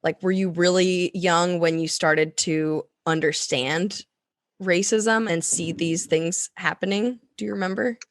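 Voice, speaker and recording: Soft-spoken, unhurried woman podcast host. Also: fairly low pitch, steady tone, no room sound, clean studio sound. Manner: attentive, asks gentle questions. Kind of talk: interview conversation.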